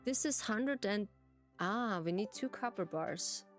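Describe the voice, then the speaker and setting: Slow, playful German woman streamer. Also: mid-range voice, animated, faint music behind. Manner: playful exclamations, simple halting English. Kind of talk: casual chat while streaming a farming game.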